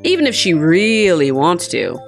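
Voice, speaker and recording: A woman's voice: on the low side, very animated, unhurried, vocal fry, faint music behind, pitched below the performer's own voice, full character voice. A woman voicing a cute, dreamy young mermaid girl in a visual novel, chirpy and girlish. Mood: chatty, cheerful.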